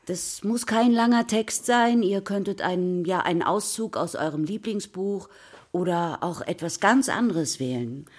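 Patient schoolteacher, slightly husky. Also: female voice, lowish voice, a little slow. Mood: encouraging. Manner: addresses a class, patient, slightly formal. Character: patient, encouraging woman schoolteacher keeping order. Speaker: primary school teacher. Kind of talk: naturalistic radio play acting.

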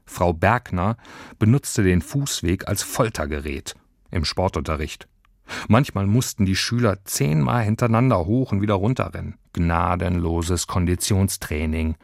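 A man's voice: deep voice, moderate pace, gravelly, no echo, studio quality. A man reading scripted narration for a children's story. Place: dry studio.

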